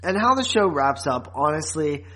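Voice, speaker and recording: A man's voice: a little high, big pitch swings, normal speed, pushed and bright. Casual man podcasting. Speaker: podcast co-host. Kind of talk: casual chat reviewing a TV series.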